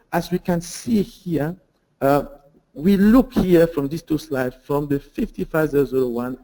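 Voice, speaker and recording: Guy presenting a webinar, slightly high voice, lots of uh uh and restarts. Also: mellow, rough recording. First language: French. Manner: earnest, with repeated fillers, restarts and rhetorical questions. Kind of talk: webinar presentation on security standards.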